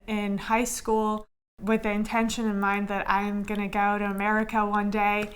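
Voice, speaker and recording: A young woman from Iran, Armenian by first language, speaking English in a relaxed interview. She talks in a chatty way, dropping "like" and restarting sentences as she goes. Her pitch sits right in the middle for a woman. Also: moderate pace, smooth. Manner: chatty, with frequent "like" fillers and restarts. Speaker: interviewee, structural engineer. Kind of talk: casual interview storytelling.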